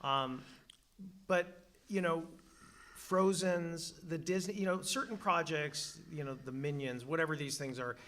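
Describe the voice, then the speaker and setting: A man's voice: fairly high pitch, measured pace, fairly bright tone, a little hiss or hum. A man speaking candidly and emphatically as a panelist and moderator at a Q&A in a studio room.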